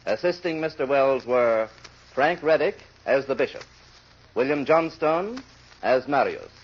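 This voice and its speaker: A man's voice: medium pitch, lively intonation, measured pace. A man reading formal credits as an announcer in 1930s radio style. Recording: dull vintage radio recording.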